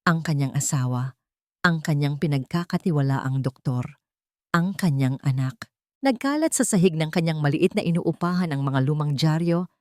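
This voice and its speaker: A woman's voice: low voice, a little mellow, no room sound, studio quality. A woman narrating a scripted dramatic story as a storyteller.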